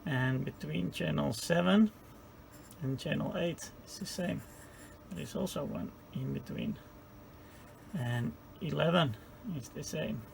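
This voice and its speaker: Very creaky-voiced man explaining electronics. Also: a little high, a little hiss or hum. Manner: halting, searching for words mid-sentence. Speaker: presenter of a radio repair tutorial. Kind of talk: informal hands-on technical explanation.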